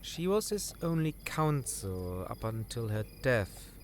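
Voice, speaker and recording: A man's voice: mid-range voice, sing-song, slow, a little hiss or hum. Man acting an earnest young scholar, swinging intonation. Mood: earnest, informative. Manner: formal, hesitant, courteous. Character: earnest, deferential young male scholar, polite nephew. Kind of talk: fantasy audio drama acting.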